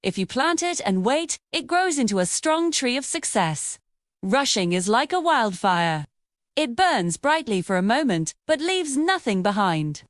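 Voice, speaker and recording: A woman voicing a cheerful, wise female parrot who gives gentle, patient guidance in a children's storybook. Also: on the high side, sing-song, unhurried, no room sound, clean studio sound. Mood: gently wise.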